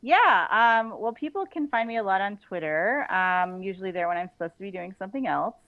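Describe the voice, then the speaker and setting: A woman speaking as a guest in a casual interview, informal and halting, often chuckling as she talks. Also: medium pitch, lively intonation, no room sound, phone-quality sound. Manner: chuckles often while talking; informal, halting, frequent "like" and "you know".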